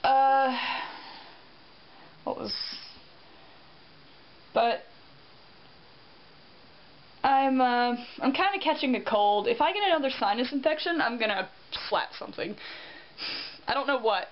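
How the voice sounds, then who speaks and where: A woman's voice: high voice, animated, slow, long pauses. A young woman in casual vlog chat, rambling and self-deprecating, tired and congested with a sore throat. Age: young adult. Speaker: vlogger. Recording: dull lo-fi sound with faint hiss.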